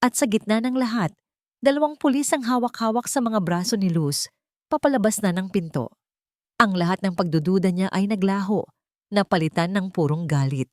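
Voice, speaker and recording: A woman's voice: medium pitch, normal speed, a little mellow, no echo, studio quality. A woman narrating a melodramatic story as a scripted, dramatic reading.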